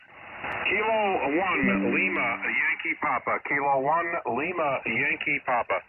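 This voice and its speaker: A man's voice: a little high, expressive, slow, over a noisy crowd. A man calling in over a two-way radio as an amateur operator, keeping the exchange terse. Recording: very noisy, narrow shortwave radio signal.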